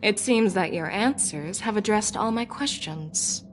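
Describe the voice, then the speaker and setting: Actress as probing female general, video game character, formal and deliberate, confident, frank, music underneath. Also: mid-range voice, lightly acted. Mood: stern, formal. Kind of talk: scripted video game voice acting.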